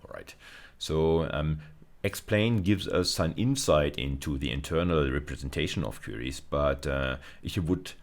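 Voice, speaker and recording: Male university lecturer, very low bass voice, halting explanatory delivery for technical teaching.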